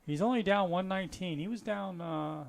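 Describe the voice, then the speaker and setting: A man's voice: high voice, normal speed, creaky. Chatty man calling a live poker stream. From Florida. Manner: relaxed and chatty, with frequent fillers and restarts.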